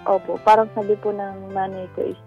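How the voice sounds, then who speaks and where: A woman's voice: mid-range voice, level tone, brisk. A Filipino woman calling a radio advice show, telling her personal story conversationally in a polite, deferential way. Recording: dull lo-fi call audio over music.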